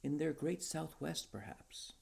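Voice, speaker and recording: A man's voice: fairly high pitch, lively intonation, a little slow, creaky, voice pushed up, full character voice. A man voicing an eager, whimsical wordsmith in a storybook tale, talkative and theatrical like a salesman. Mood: proud, whimsical. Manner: theatrical, earnest salesman patter.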